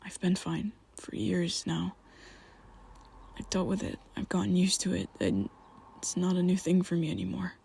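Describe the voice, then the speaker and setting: Young male vampire, guilt-ridden best friend, hushed ASMR whisper with a raspy, fry-edged voice; a man performs it. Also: sits high, sing-song, full character voice. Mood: hushed confessional. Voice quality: soft close whispering. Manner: halting, confessional, with restarts. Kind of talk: ASMR roleplay audio.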